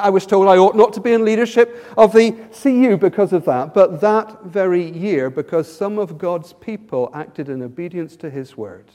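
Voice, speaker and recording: An English man preaching with a high voice, earnest and rhetorical, who often restarts his phrases. His sermon rings with the long reverb of a church hall. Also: a little mellow. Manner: earnest, rhetorical questions, repeats words when starting phrases.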